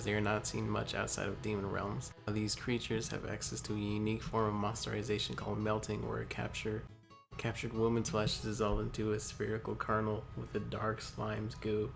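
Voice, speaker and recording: A man's voice: lowish voice, creaky. A man reading aloud in a casual, jokey way with asides, stumbling over the text and breaking into giggles. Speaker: co-host reading wiki entries aloud. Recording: music playing over the voice. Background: video game music.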